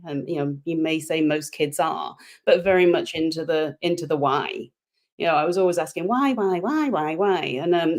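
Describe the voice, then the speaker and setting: A woman who grew up in the UK, a guest on a remote call, chatting casually with self-deprecating humor and frequent repeated words. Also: fairly low pitch. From United Kingdom. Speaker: podcast guest, organizational psychologist. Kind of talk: casual interview chat.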